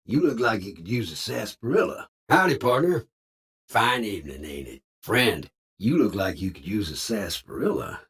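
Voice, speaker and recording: A hearty cowboy robot for a video game, with a husky edge to his Western American drawl. His pitch leaps and dips dramatically as he plays it folksy, eager and a little sly. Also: very animated, moderate pace, a bit bright, exaggerated voice. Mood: friendly, helpful.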